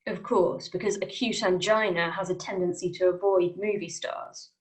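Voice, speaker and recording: A woman's voice: fairly low pitch, steady tone, moderate pace, natural voice, rough recording. A woman playing a stony, disapproving doctor with a dry, sarcastic manner in a script table read. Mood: dry disapproval.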